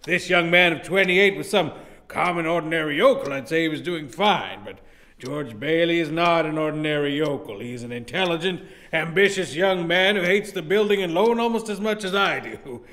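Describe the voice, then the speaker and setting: A man's voice: on the high side, normal speed, pushed and bright. An actor playing a crafty, domineering old businessman in a radio play, condescending and blustering. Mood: sly, condescending.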